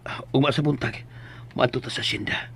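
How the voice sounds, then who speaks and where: A man with a Cebuano Filipino accent playing a humble, fussing middle-aged farmer father in a radio drama, expressive and pleading. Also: mid-range voice, normal speed, gravelly, slight background noise. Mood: worried, pleading. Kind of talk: Philippine radio drama acting.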